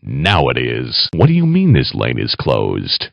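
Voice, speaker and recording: An actor playing a sadistic, wisecracking male killer in a video game, delivering slow deadpan taunts in a gravelly growl. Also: very deep voice, no room sound, highs cut off, exaggerated voice. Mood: sardonic taunting. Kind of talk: video game one-liners.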